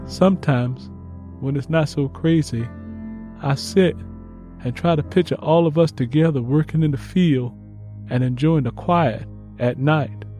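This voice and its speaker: A man's voice: on the high side, measured pace, warm tone, faint music behind, natural voice. A man playing an earnest young Mississippi field hand turned soldier, reading out letters home with plain rural phrasing in an audiobook. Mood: homesick, earnest. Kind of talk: first-person letter read in audiobook.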